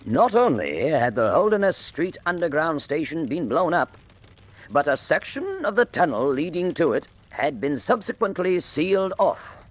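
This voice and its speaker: An actor playing the narrator of a Sherlock Holmes style detective mystery, measured and theatrical in his storytelling. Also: a little high, big pitch swings. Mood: grave, dramatic. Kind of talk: dramatic scripted narration.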